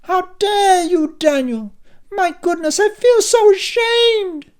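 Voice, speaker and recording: A man doing a shrill, scolding mother. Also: animated, takes it slowly, exaggerated voice. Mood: melodramatic. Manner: high, dramatic, scolding.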